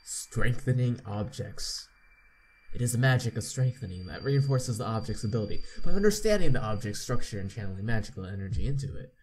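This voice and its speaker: Halting man reading aloud, faint noise. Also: fairly low pitch, animated, darkish tone, rough recording. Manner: halting reader, repeats misread words. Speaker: playthrough host. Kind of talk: reading game text aloud with asides.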